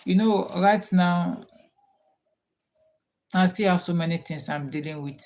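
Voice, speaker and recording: A man with a Nigerian accent hosts a casual live chat with his viewers. He talks at an unhurried, drawn-out pace. He often repeats his questions while waiting for replies. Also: high-pitched, a little mellow. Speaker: livestream host.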